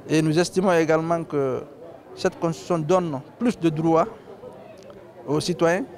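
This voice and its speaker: A man from Guinea, a legislator, giving a measured public speech with frequent hesitations. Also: fairly high pitch, a little mellow. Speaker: legislator on a tour.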